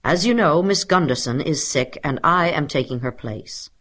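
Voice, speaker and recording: Woman voicing a pompous little male schoolteacher, animated. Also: low-pitched, moderate pace. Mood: commanding. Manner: pompous, professorial, commanding. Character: small dapper male substitute teacher, pompous and snappy. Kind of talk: children's storybook character voice.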